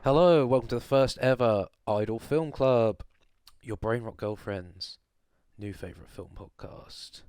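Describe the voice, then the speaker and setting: A man's voice: medium pitch, measured pace, darkish tone, smooth, no echo, studio quality. A man co-hosting a film podcast, chatting casually with wry, sarcastic humour and some swearing. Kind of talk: casual chat, recapping a film plot.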